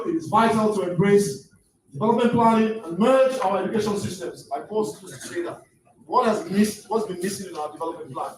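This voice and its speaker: Fast, high-voiced Nigerian man, Yoruba native, giving a fervent lecture from far off the mic in an echoey hall. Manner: impassioned, rising to near shouts, stammering restarts. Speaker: guest lecturer. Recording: echoey room, distant mic, faint noise.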